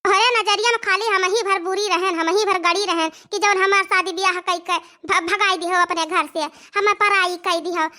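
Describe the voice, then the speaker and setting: A woman's voice: very high voice, fairly even intonation, moderate pace, few pauses, pushed and bright. A young woman with an Awadhi accent playing an overworked, complaining village daughter-in-law in a comic cartoon, repeating her phrases for emphasis. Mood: bitter, complaining.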